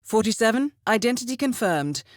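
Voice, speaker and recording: An actress playing a poised, dryly amused intelligence handler who briefs an assassin in a video game, measured and formal. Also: female voice, a little high, expressive, dry recording, clean studio sound, lightly acted. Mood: composed briefing. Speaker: mission handler.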